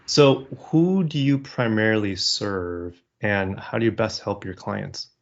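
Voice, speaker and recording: Podcast host guy, lowish voice, chill questions w little restarts, slightly muffled audio. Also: unhurried, gravelly. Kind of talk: casual interview questions.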